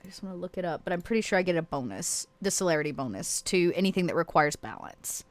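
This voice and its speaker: A woman's voice: lowish voice, animated, fast, slight background noise. A woman talking as a player in a tabletop roleplaying game, casually and sometimes in character.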